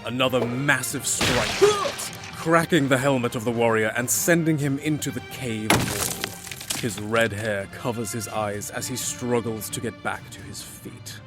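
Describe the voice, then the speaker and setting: A man's voice: mid-range voice, expressive, unhurried, loud music behind the voice. A man giving dramatic, scripted fantasy narration as the storyteller.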